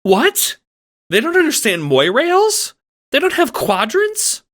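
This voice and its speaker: A man playing an excitable, affectionate young cat-like alien troll girl, rambling playfully as narrator of a fan audio drama. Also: very high voice, slow pace, exaggerated voice. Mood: excited pleading. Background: music.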